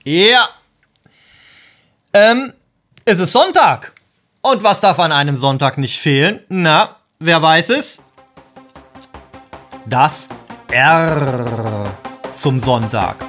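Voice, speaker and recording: A man in his forties hosting a casual radio show from a home music studio, chatty and lively. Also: fairly high pitch, very slow, long pauses.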